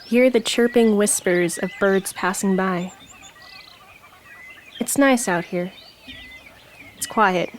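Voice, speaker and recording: A woman reading reflective poetry in a contemplative manner with measured phrasing. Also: medium pitch, a little hiss or hum. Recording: noticeable outdoor ambience with birdsong. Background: nature ambience, birds.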